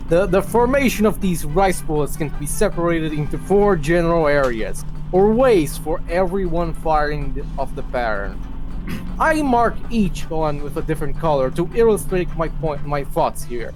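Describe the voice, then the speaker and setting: A man's voice: on the high side, lively intonation, normal speed, rough recording. A man doing a dramatic, mock-grave reading of a written rant as a stream host. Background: music.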